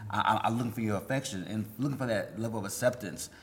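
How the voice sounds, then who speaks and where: A man's voice: lowish voice, quick pace, a little hiss or hum. A man on a podcast panel discussing movies casually, often repeating phrases as he goes.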